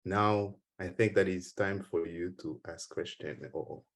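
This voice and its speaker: A man from Haiti with a Haitian accent, giving an earnest conference talk and taking questions, halting at times with repeated words. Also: deep voice, steady tone. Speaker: conference speaker. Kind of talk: conference speech then Q&A.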